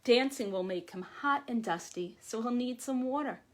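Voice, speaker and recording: Casting: woman, forties, slightly high voice, expressive storytime reader for small children. Home-video sound with some noise. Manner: expressive, deliberate phrasing to children. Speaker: children's librarian hosting storytime. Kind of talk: read-aloud storytime for young children. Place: small room at home or office, by window. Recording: clearly noisy home video with clicks.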